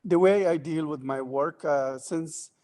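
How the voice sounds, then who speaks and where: A man from Lebanon and Sierra Leone, speaking as an artist on a panel about his work, halting often with filler sounds. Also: fairly high pitch, warm tone.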